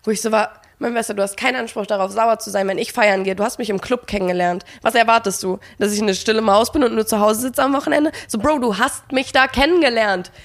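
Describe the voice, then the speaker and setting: Fast-talking young woman telling a story. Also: on the high side. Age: young adult. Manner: fast, animated, acts out quoted dialogue, frequent fillers. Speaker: podcast co-host. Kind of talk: casual storytelling chat.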